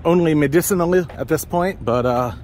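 A man narrating a walking tour of a town's streets in a relaxed, musing, meandering way, recorded on a camera mic as he walks. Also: fairly high pitch, brisk. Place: outdoors on city streets. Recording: outdoor street noise behind. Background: passing cars and street ambience.

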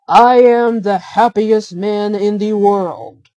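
Woman as young prince groom, storybook, earnest, emphatic, happy, mellow, unhurried. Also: medium pitch, steady tone, no room sound, dull audio, close to an everyday voice. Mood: elated. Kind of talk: storybook character reading.

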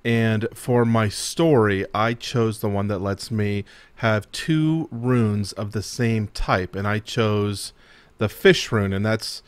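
A man's voice: on the low side, slow, smooth, dry recording. A man in his thirties joining a board game session by video call on a headset, rambling and self-amused as he talks through his turn. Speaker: guest player. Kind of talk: casual board game chat. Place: home gaming room, indoors.

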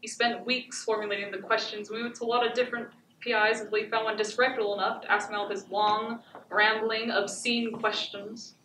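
A haunted battered wife acted by a teenage girl delivers a deliberate stage monologue in a bright, fairly high voice. She sounds resigned and blames herself, heard from far off in an echoing theatre. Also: flat delivery. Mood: anguished, bitter. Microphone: far camera. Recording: echoey noisy theatre, distant microphone.

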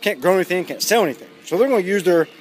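Blunt, animated man with a high-pitched voice. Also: very fast, faint music behind. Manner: blunt, emphatic, sardonic, with swearing. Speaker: vlogger giving news commentary. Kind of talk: casual, opinionated commentary to camera. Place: outdoors, walking in a residential neighborhood. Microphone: phone or camera at arm's length.

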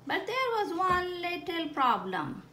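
A woman reads a children's picture book with very animated, swooping intonation. She takes her time and plays up the storytelling in a fairly high voice. The recording is roomy, with some background noise. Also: slow. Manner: exaggerated storytelling with playful asides to children. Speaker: children's story reader with a frog puppet. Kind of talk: expressive picture-book read-aloud. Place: indoors at a wooden table.

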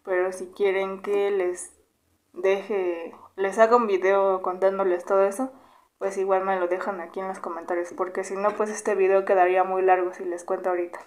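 A woman in her twenties from Mexico with a Mexican Spanish accent, answering viewer questions in a relaxed, chatty vlog, humming between questions and recorded on a camera held close in a small bedroom. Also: mid-range voice, normal speed. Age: 20s. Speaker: YouTuber. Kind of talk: casual Q&A vlog.

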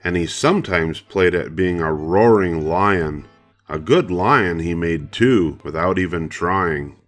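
Low-voiced older man reading a storybook. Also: slow pace, a bit bright, faint music behind. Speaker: storybook reader. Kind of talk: scripted children's story narration.

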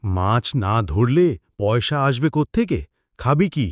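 A man voices a hard-working fisherman father in a village cartoon. His pitch moves freely, and the recording is close and dry. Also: sing-song, measured pace, no room sound. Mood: weary, complaining. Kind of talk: cartoon voice acting.

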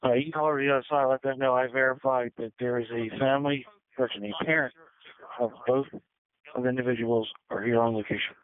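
A man's voice: medium pitch, steady tone, fairly quick, dry recording, phone-quality sound. A man working as a field command officer, talking over a two-way radio. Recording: band-limited emergency radio transmission.

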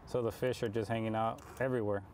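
A man's voice: fairly low pitch, steady tone, fast talker, darkish tone, slight background noise. A man in his thirties talking casually while fishing at an outdoor park pond, explaining his technique as he goes, picked up by a camera mic at some distance. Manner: laid-back, explains as he fishes. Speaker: fishing vlogger.

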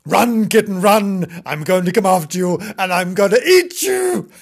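An adult reader acts out a menacing male dog from a storybook. He is hungry and loud, taunting with relish in a husky, lively voice. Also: mid-range voice, normal speed, pitched above the performer's own voice.

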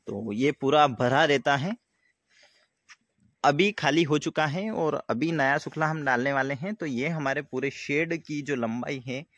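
A young man, a dairy farmer giving a casual, halting walkthrough and often repeating himself as he explains. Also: medium pitch, a bit bright, dry recording, studio quality. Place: outdoors in an open-sided farm shed. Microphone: phone at arm's length.

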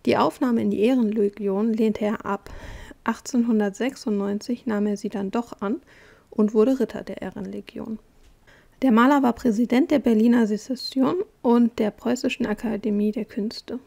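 Calm woman presenting an art quiz. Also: fairly high pitch, moderate pace, vocal fry. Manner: calm, explanatory, with hesitations.

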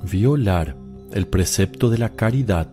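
Grave holy teacher in a radio play, preaching really slowly, soft music behind. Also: male voice, fairly low pitch, lively intonation. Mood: solemn, reproving. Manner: solemn, deliberate, preaching. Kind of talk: radio drama scripture teaching.

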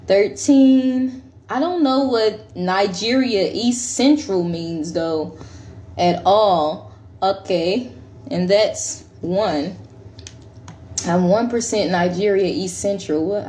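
A young woman, casually vlogging to viewers in an excited, exclamatory way, filmed on a phone in a small bedroom. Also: mid-range voice. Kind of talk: casual vlog. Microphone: phone camera close up.

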